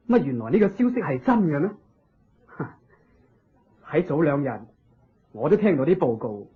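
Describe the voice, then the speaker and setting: An actor with a Hong Kong Cantonese accent playing a hearty, curious, gracious king of ancient India in a Buddhist radio drama, in an expressive, exclamatory manner. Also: a little high, slightly rough, full character voice. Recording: dull lo-fi radio drama recording.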